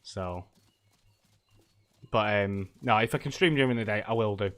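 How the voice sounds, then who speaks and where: A man's voice: on the low side, moderate pace, a bit bright. A middle-aged man, stuffy from a cold, chatting casually and rambling with lots of ums and laughs while live-streaming a game.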